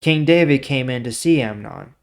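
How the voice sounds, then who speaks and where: A man with a medium-pitched voice hosts a scripture reading series. He reads in a storytelling way and adds informal, explanatory remarks in a casual manner. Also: lively intonation, moderate pace, gravelly. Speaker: host of a daily Bible reading series.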